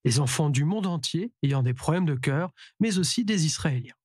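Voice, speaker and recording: A male news narrator with a slightly husky, somewhat high voice. He reads a scripted report in a measured, even way. The sound is dry and close. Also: no room sound.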